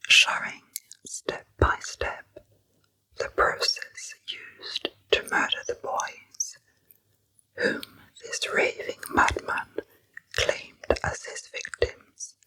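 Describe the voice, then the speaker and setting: A woman narrating true crime in ASMR style, in a hushed, breathy whisper with deliberate storytelling. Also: rough recording. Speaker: narrator, ASMR channel host.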